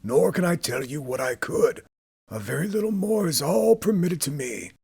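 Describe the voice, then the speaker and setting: A man voicing a doomed old male ghost, speaking in a slow, drawn-out, mournful way in a storybook reading. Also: on the high side, expressive, gravelly, a little mellow, slightly rough, no echo, studio quality, pitched above the performer's own voice, full character voice. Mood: solemn. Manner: slow, wailing, drawn-out delivery.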